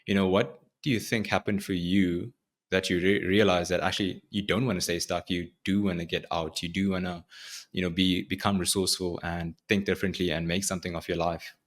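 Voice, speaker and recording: A man hosting a podcast interview, asking reflective questions in measured, short phrases. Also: deep voice, little pitch movement. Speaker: podcast host.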